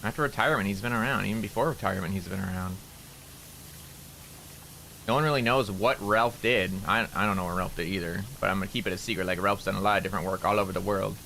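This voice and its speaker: A man with a fairly low voice and a bright, forceful edge rambles to his stream while he plays. His talk is loose and casual, full of "like" and restarts, with faint ambience behind him. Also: quick pace, gravelly, slight background noise, rough recording. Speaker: VTuber streamer. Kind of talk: casual stream chat while playing a game.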